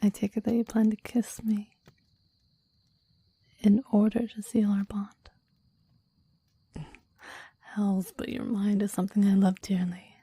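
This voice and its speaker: A woman's voice: mid-range voice, little pitch movement, moderate pace, dark tone, slightly rough, no echo. A woman playing a clingy, devoted demon lover in an intimate ASMR roleplay, whispering breathily and reverently in formal, old-fashioned phrasing, close to the microphone. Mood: tender, eager. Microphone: close microphone, dry.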